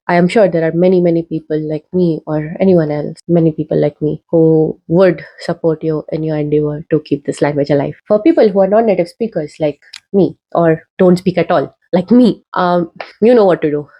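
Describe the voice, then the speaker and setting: A young woman with an Indian English accent makes an earnest, casual video essay to camera, with fillers and pauses as she urges viewers. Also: lowish voice, lo-fi sound. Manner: earnest, persuasive, many fillers. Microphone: talking to camera.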